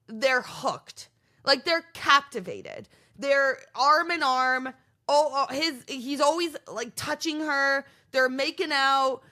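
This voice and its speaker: A woman's voice: on the high side, sharp tone. A young Canadian woman with a Canadian accent, hosting a podcast and chatting casually and rambling with lots of "like" and restarts. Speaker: podcast host. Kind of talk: casual solo recap chat.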